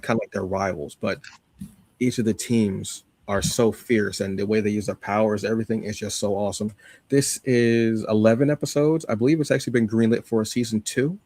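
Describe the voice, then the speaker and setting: A man with a fairly low voice talks casually on a podcast, recorded close and dry. He recommends things with enthusiasm and leans on slangy emphasis. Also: steady tone, brisk, a little mellow, no echo. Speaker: podcast co-host. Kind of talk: casual chat, giving recommendations.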